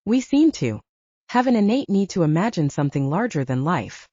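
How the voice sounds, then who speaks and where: A woman narrator plays a male professor, a coolly certain debunker with measured academic phrasing in an audiobook. The tone is mellow, with varied intonation and a slightly dull recording. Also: on the low side, sing-song, no echo. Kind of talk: audiobook character dialogue.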